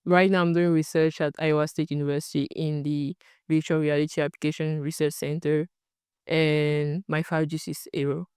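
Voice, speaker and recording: A woman's voice: sits low, little pitch movement, a little mellow, smooth, dry recording, studio quality. Hesitant young woman, Cameroonian French accent. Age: young adult. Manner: hesitant, pausing to search for words. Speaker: student researcher. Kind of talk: documentary interview answers.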